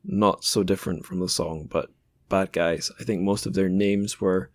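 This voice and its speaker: A man with a fairly low voice hosts a podcast interview. He talks informally and a little hesitantly, working out his thoughts as he goes. The sound is dry, with very little room in it. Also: steady tone, moderate pace, dry recording. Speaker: podcast host.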